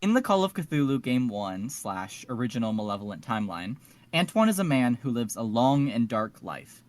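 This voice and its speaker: A man's voice: medium pitch, very animated, normal speed, no room sound. Man podcaster, close and dry sound. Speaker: podcast co-host. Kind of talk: casual discussion and reading out a plot summary.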